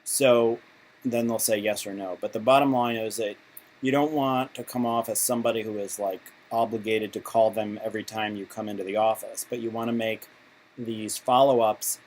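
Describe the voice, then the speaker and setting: A man giving an informal instructional talk, speaking in halting, conversational phrasing with frequent restarts. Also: fairly low pitch, steady tone, darkish tone. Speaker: instructor for patient volunteers. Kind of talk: informal instructional explanation with role-played examples. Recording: clearly noisy amateur recording.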